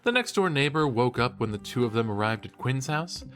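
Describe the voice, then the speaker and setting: An eager young college student co-hosting a true-crime podcast in an audio drama. His pitch moves in a lively, playful way and his delivery is theatrical and cheeky. Music plays softly behind him. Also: a little fast. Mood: eager, speculating. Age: young adult. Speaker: podcast co-host. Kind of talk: scripted banter in a horror audio drama.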